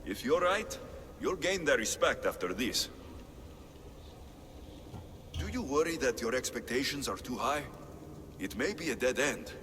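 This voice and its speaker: An actor playing a pragmatic, skeptical mercenary adventurer in a game cutscene, wry and matter-of-fact. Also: male voice, mid-range voice, lively intonation, normal speed, sharp tone, long reverb tail, a little hiss or hum. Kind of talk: video game cutscene acting.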